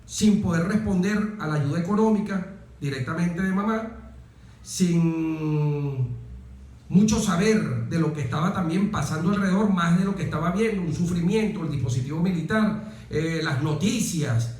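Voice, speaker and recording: A man from Venezuela with a Venezuelan accent, telling personal stories as an interview guest, phrasing deliberately and adding little tag questions. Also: fairly high pitch, darkish tone. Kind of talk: reflective personal storytelling in an interview. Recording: very echoey, reverberant room.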